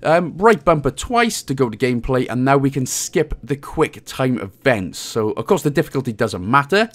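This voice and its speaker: A man's voice: medium pitch, sing-song, normal speed, fairly bright tone. A man with a Welsh accent giving casual, chatty, slangy walkthrough commentary on a video game as the guide's host. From Wales.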